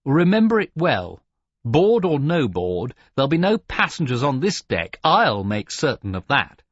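A man's voice: mid-range voice, sing-song, unhurried, breathy edge, no echo, muffled recording, voice pushed up. A man voicing a stiff, officious and eager young naval lieutenant in an audiobook sea story. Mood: officious, sharp.

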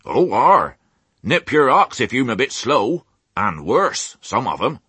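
Husky, mournful old West Country ewe. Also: male voice, mid-range voice, sing-song, slow, pushed and bright, no room sound, pitched above the performer's own voice, exaggerated voice. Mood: aggrieved. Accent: English West Country.

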